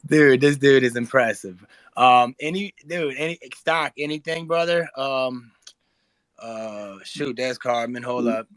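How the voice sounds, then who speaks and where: A man's voice: mid-range voice, slow, fairly bright tone, clear, resonant tone, no echo. A man hosting a casual live audio chat, talking in an effusive, slangy, bro-heavy way. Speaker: host.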